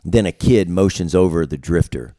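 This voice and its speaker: A man's voice: sits low, moderate pace, dark tone. A man talking casually as a comedy writer guesting on a podcast. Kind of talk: casual chat and reading stage directions.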